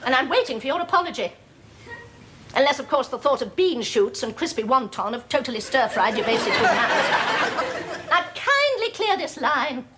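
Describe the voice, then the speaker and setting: An actress playing a pretentious, domineering middle-aged English housewife in a sitcom, with an affected, plummy voice. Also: female voice, sits high, very animated, normal speed, crowd noise, noisy background. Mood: indignant. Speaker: sitcom character.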